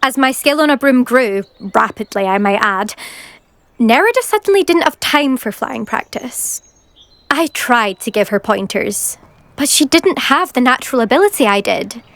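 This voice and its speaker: A woman's voice: a little high, lively intonation, a little slow, a bit bright, dry recording. A woman playing a boastful, brusque teenage British girl, a competitive broom racer in a video game. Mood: boastful, scornful. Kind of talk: video game character dialogue. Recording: game music behind. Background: game music.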